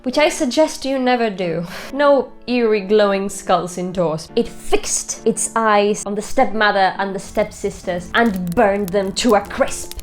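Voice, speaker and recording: A woman narrating a folktale as storyteller, expressive and deliberate, with dramatic storybook phrasing. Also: medium pitch, faint music behind. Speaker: storyteller, host.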